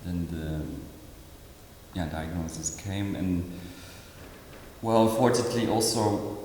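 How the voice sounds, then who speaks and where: A man in his forties, originally from Indonesia, speaking in an interview as an artist, halting with many fillers and restarts. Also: deep voice, mellow. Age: 40s. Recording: echoey hall with faint noise.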